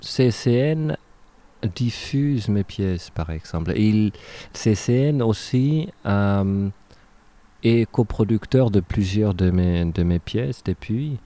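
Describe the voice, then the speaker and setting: A man's voice: lowish voice, expressive, slow, warm tone. A man from Finland in his thirties, speaking with a Finnish accent as a dancer and choreographer interviewed on radio, hesitant with many restarts.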